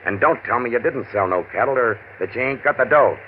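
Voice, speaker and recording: A man's voice: medium pitch, lively intonation, a little fast, close to an everyday voice. An actor playing a menacing, sarcastic American outlaw gunman in an old radio mystery, with slangy, taunting tough-guy talk. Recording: scratchy, tinny old radio recording.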